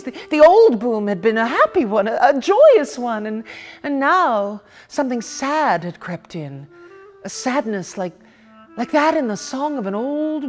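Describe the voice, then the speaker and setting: A woman reads a short story in a theatrical, dramatic way. Her delivery is unhurried and her pitch moves widely. She hesitates on purpose and repeats words for effect. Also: a little mellow. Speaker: reader of short stories. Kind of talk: theatrical, expressive literary story reading.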